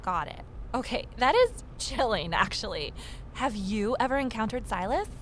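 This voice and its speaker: A woman's voice: a little high, very animated, moderate pace, slight background noise. A woman playing a wry, uneasy young journalist who narrates a mystery audio drama in a confiding way. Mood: curious. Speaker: lead character and narrator.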